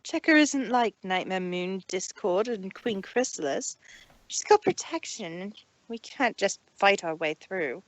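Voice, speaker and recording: A woman's voice: medium pitch, lively intonation, measured pace, no echo, natural voice, rough recording. A woman voicing a refined, ladylike unicorn mare, indignant but composed, in a fan fiction read-aloud. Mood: serious.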